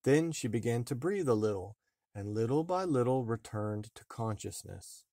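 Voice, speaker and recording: A man reading a storybook aloud in measured, deliberate narration. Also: mid-range voice, expressive, dark tone, no room sound, studio quality. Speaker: storybook reader, a father. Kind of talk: read-aloud fairy-tale narration.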